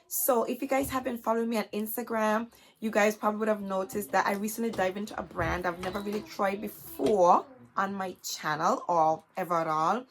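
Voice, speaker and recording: A young adult woman with a hoarse, scratchy, chesty voice, chatting casually to camera as a vlogger and filling her talk with "you guys". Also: medium pitch, a bit bright. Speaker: beauty vlogger. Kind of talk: casual haul chat to camera.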